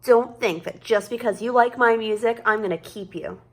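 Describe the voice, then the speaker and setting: A woman voicing a grudging but soft-hearted child with a pet goldfish in a storybook, speaking plainly and emphatically. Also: fairly high pitch, normal speed. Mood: apologetic, soft. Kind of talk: children's storybook read-aloud.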